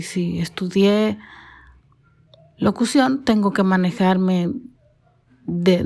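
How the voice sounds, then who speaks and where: A woman from the Dominican Republic hosting her own podcast, talking alone to her listeners in careful, formal speech shaped by training in broadcasting. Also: medium pitch. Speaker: podcast host. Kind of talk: solo podcast monologue.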